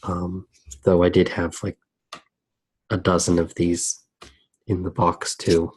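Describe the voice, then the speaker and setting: A man giving soft-spoken ASMR commentary as he draws, musing aloud in a hesitant way with pauses. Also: low-pitched, level tone, breathy edge. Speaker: host of an ASMR drawing channel.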